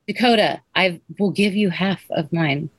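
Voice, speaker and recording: A woman's voice: fairly low pitch, a little slow, husky, no room sound. A woman chatting casually in a group with a blunt, teasing manner and plenty of swearing. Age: adult parent of four. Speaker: podcast host. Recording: noisy online video chat.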